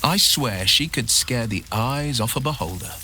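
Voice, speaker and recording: An actor playing a loyal, noble-minded adventurer with wry humour and an earnest storytelling manner, in a video game. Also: male voice, mid-range voice, animated, moderate pace, sharp tone, slight background noise. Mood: confident. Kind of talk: video game dialogue acting.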